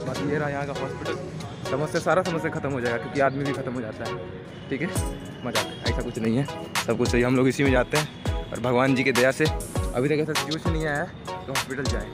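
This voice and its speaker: A man's voice: mid-range voice, fairly even intonation, fast talker. A young man, chatting informally as he narrates a vlog into a handheld camera. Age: young adult. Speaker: student vlogger. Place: outdoors on campus, streets and station. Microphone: handheld camera at arm's length. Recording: music over outdoor phone-style vlog audio. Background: loud music.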